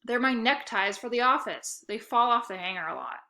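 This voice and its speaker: A woman's voice: a little high, expressive, normal speed, pushed and bright. A woman voicing a puzzled, matter-of-fact dad in a children's storybook.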